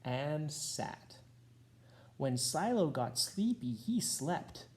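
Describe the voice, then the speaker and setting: Low, mellow woman reading a storybook slowly. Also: big pitch swings. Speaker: school staff member reading aloud. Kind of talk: children's storybook read-aloud.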